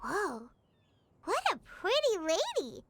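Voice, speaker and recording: A woman voicing a tiny, eager, cheeky fairy girl companion in a video game, with a squeaky childlike voice and a perky manner. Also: huge pitch swings, slow pace, a crowd in the background, exaggerated voice. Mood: awed, excited. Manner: perky, exclaiming, refers to self in third person. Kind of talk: video game character acting.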